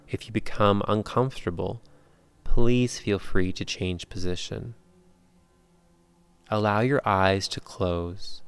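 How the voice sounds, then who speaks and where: A man leading a guided yoga nidra meditation in calm, measured, unhurried instructions with long pauses. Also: on the low side, clean tone.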